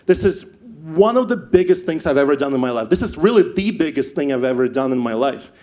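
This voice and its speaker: Young man, Ukrainian accent, fairly high voice, lively stage storyteller who restarts a lot. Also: a little fast. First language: not English. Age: young adult. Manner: animated, repeats words and restarts. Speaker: startup founder speaker.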